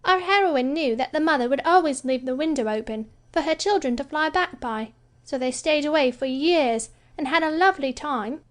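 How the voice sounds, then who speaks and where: Brief: woman voicing a bright-voiced girl storyteller, motherly, dramatic, sing-song. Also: high-pitched, expressive, moderate pace, pitched above the performer's own voice. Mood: storytelling. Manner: theatrical, sing-song telling.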